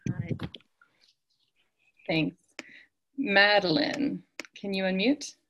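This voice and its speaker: A woman's voice: mid-range voice, fairly even intonation, drawn-out words, muffled recording, a little hiss or hum. A woman hosting an online meeting, calling out names one by one and asking people to unmute. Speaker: meeting host. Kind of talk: calling roll on a video call. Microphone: video call.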